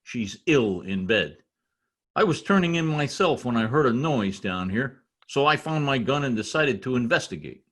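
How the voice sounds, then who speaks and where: A man's voice: mid-range voice, lively intonation, normal speed, fairly bright tone. A man playing a formal, suspicious householder in an old-time radio mystery, stiffly polite and controlled. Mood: guarded, cool. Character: formal, guarded husband, a suspicious armed householder. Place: home recording over video call.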